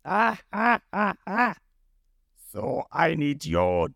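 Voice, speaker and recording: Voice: medium pitch, sing-song, slow pace, creaky, no room sound, studio quality, pitched above the performer's own voice, exaggerated voice. A narrator voicing a cruel, domineering male pirate captain with a menacing, scornful manner in a storybook retelling. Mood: gloating. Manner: menacing, sneering.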